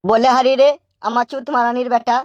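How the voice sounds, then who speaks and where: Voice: medium pitch, level tone, brisk, no room sound, highs cut off, close to an everyday voice. A performer voicing an anxious, nagging old father in a comic cartoon, grumbling and scolding. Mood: exasperated, scolding. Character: exasperated, foul-mouthed old village father, anxious and nagging.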